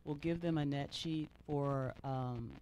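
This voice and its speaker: A man's voice: a little high, fairly even intonation, takes it slowly, darkish tone, rough recording. A man who works as an agent, commenting from the class in a spontaneous, somewhat hesitant and rambling way. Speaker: class attendee, real estate agent. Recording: faint background noise in classroom.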